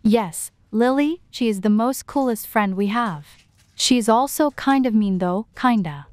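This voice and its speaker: A woman acts a bubbly teenage friend in an online storytime skit. Her voice sits fairly high. She chatters eagerly and is quick to apologize. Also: animated, a little mellow, slight background noise. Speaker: voice actor in an animated storytime drama. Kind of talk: scripted amateur roleplay dialogue.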